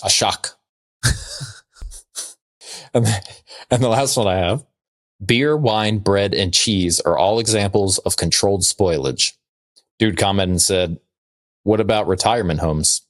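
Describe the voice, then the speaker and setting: A man with a fairly low voice chats casually as a podcast co-host. He delivers trivia and jokes dryly, in a loose comedic style. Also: a little slow, pauses often.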